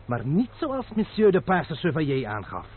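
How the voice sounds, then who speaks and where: A man playing a proud, quick-tempered courier with a sarcastic edge in an old radio drama. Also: on the high side, huge pitch swings, slightly rough, full character voice. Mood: gruff. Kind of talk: vintage radio drama acting. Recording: phone-like narrow band.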